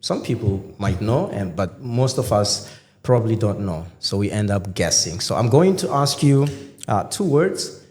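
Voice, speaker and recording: A man's voice: on the low side, moderate pace, slight background noise. Male podcast host, playful and chatty; roomy, reverberant sound. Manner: lively, playful, many fillers and restarts. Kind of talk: casual interview chat. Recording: echoey room with long reverb.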